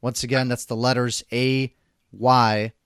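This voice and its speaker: Brief: male podcast host, smooth resonant voice, upbeat, tripping over his words, dry close mic. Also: medium pitch, flat delivery, measured pace, fairly bright tone, no echo. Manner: upbeat, stumbling, repeats words. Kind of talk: casual interview hosting.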